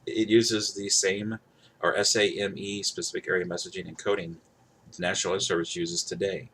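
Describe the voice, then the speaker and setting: A man with a low voice talks casually to camera as he demonstrates a device. He rambles, circling back to the same points with emphasis. Some noise sits behind his voice. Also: flat delivery, brisk, a bit bright. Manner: repeats himself, rambling, emphatic. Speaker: gadget reviewer. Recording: clear background noise.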